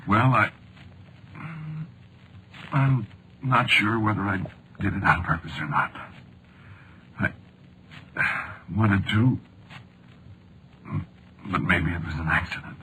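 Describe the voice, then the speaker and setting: An actor in a vintage radio drama playing a weary, fatalistic man dying of a wound, weak and in pain, speaking in halting, laconic phrases. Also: fairly low pitch. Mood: faint, fatalistic. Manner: halting, laconic, resigned delivery. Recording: noisy vintage radio recording.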